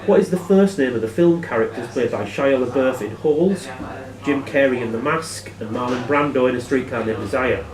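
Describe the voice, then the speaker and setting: A man hosting a quiz as quizmaster, reading questions aloud in a plain, matter-of-fact way and giving brisk rulings. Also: medium pitch, a crowd in the background. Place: live in a pub. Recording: echoey room, distant microphone.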